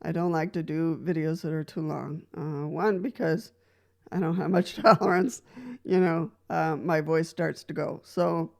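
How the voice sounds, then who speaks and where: A woman's voice: low voice, steady tone, measured pace, gravelly. A woman from Costa Rica talking casually to camera, giving practical advice from her own experience. She laughs lightly between points and often restarts with "so". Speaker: wheelchair user giving advice. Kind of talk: casual explanatory vlog talk.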